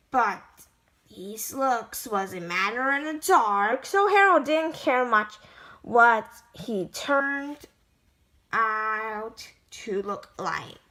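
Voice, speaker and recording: A woman reading a children's picture book aloud in a halting way, stumbling over words as she goes. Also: on the high side, pushed and bright. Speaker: amateur story reader.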